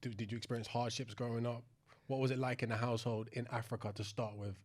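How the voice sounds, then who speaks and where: A man hosting a podcast interview, chiming in with quick agreements and asking probing questions in casual chat. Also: mid-range voice, little pitch movement, a little mellow. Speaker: podcast host.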